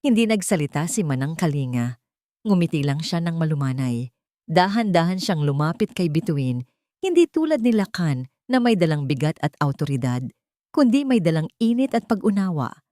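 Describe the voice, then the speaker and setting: A low-voiced woman tells a dramatic story from a script. Her tone is dark and mellow, and she breaks sentences into short, measured phrases. The sound is dry and close. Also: very animated, no echo.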